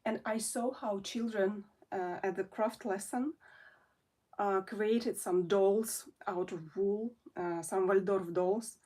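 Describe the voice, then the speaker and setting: A woman's voice: medium pitch, steady tone, slow, warm tone. A woman in her forties from Russia, speaking English as a second language, hosts her felting channel in a casual, hesitant chat full of fillers and restarts. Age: 40s. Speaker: felting artist and channel host. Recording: voice somewhat distant from the mic.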